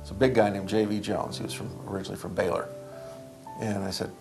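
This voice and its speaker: A man's voice: lowish voice, level tone, fast talker, vocal fry, music in the background. A man who is an emergency physician, recalling his early training in a documentary interview, rambling and anecdotal with many fillers.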